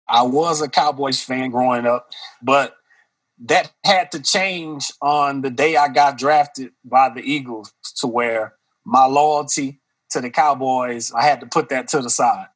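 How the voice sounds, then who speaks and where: A former football player from East Texas, telling his story in a relaxed interview. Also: medium pitch, a little slow, low-quality recording.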